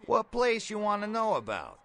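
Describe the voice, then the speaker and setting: A man playing a brash, folksy middle-aged bail bondsman in a video game, with a gravelly voice and blunt, slangy talk. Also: high-pitched, huge pitch swings, moderate pace, fairly bright tone, heavily acted. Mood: chatty. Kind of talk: video game character dialogue.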